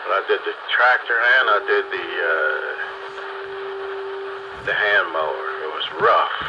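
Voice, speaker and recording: A man's voice: deep voice, expressive, a little slow. An American man in casual, folksy conversation, telling stories in colloquial phrases and often calling listeners "man.". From city in the eastern US. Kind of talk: casual chat, storytelling. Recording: muffled radio link with loud static.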